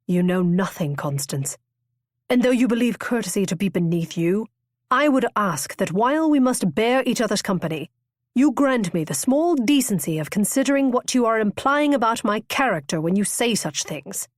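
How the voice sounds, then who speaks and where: A woman reading the lines of a proud young gentleman, speaking in a formal, clipped and reproachful way. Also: mid-range voice, expressive, normal speed, dry recording, clean studio sound, lightly acted. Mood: cold.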